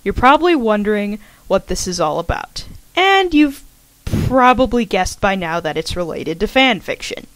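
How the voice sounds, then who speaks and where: A woman speaks as an online channel host in an animated voice that moves freely up and down. She delivers a prepared introduction in an informal, chatty way, with little asides to her listeners. The sound is close and dry. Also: mid-range voice, moderate pace, dry recording, poor audio. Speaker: host of a gaming channel, fan fiction writer introducing a podfic.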